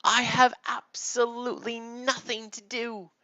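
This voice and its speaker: A man voicing a dramatic, carefree girl, the big sister in a story, with drawn-out theatrical delivery. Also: very high voice, completely flat delivery, pushed and bright, dry recording, muffled recording, voice pushed up, heavily acted. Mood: breezy, carefree.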